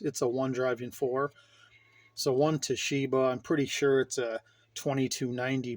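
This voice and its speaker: A middle-aged man giving a casual show-and-tell in a rambling, informal, enthusiast's way, like a hobby vlogger. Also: medium pitch. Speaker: hobbyist vlogger showing his radio gear.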